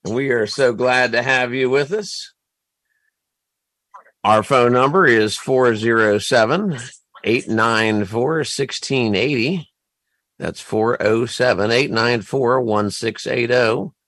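A man's voice: mid-range voice, slow pace, a bit bright, no echo. A man co-hosting a talk radio show, with a jokey, announcer-style delivery. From Central Florida. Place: radio studio.

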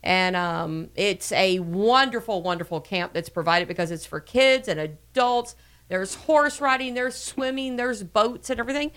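A woman's voice: medium pitch, moderate pace, a bit bright, clean tone. A middle-aged woman hosting a casual radio interview, chatty and full of repeated words and fillers. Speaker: host.

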